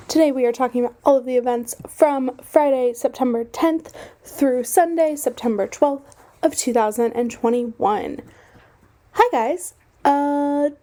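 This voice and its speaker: A young woman hosting a casual podcast, chatty and self-deprecating with lots of fillers, her voice slightly hoarse from a cold. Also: high voice, a little mellow. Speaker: podcast host. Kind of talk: casual solo podcast chat.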